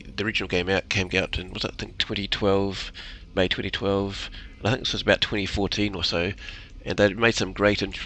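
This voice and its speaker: A man giving casual, unscripted video game commentary in a gravelly voice. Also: on the low side, bright, forceful tone, a little hiss or hum.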